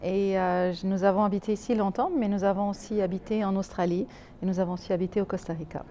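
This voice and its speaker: A woman's voice: mid-range voice, fairly even intonation, fast talker, mellow, slight background noise. A Swiss-born woman guest in a casual TV interview, talking hesitantly with many fillers and easy laughs. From Switzerland. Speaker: guest, community association president.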